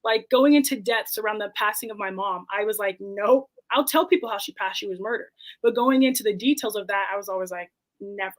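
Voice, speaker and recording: A woman's voice: medium pitch, fairly quick, few pauses, rough recording. A woman in her twenties, a podcast guest, talking candidly and reflectively in casual conversation. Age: 20s. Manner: candid and reflective, quotes her own past thoughts.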